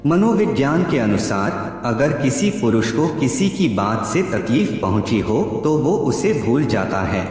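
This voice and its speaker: A man reading scripted narration in measured, deliberate short phrases. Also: medium pitch, sing-song, darkish tone. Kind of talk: scripted narration of psychology facts. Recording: heavy echo, long reverb, background music. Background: music.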